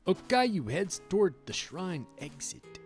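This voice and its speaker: A man's voice: high-pitched, very animated, takes it slowly, soft background music. A man streaming a visual novel, reading aloud theatrically and adding crude, casual asides.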